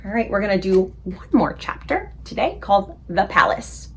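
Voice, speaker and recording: A woman reading a children's book aloud as an expressive storyteller for a book club. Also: medium pitch, normal speed, slight background noise.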